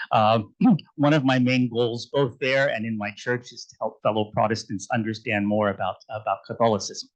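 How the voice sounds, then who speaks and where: A man speaking as a history professor on a panel, relaxed and chatty, with frequent hesitations and the odd throat clearing. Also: mid-range voice, poor audio. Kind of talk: casual academic panel talk.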